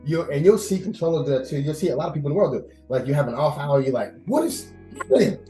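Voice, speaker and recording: A man in his thirties talking casually as an interview guest, animated and expressive, repeating phrases for emphasis. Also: on the high side, very fast. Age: 30s. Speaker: podcast guest, air traffic controller. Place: indoors at host's house. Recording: roomy echo, faint music behind.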